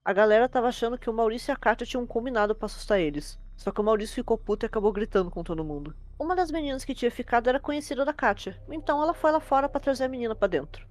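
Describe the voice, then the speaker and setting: A woman's voice: a little high, lively intonation, rapid-fire, few pauses, a little mellow. A woman with a Brazilian accent narrating a horror story in an informal storytelling style.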